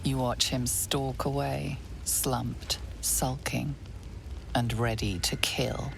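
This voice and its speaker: A woman playing the narrator of a dark fantasy video game, hushed, measured and ominous. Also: extremely low pitch, steady tone, gravelly, slight background noise, full character voice. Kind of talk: scripted second-person game narration.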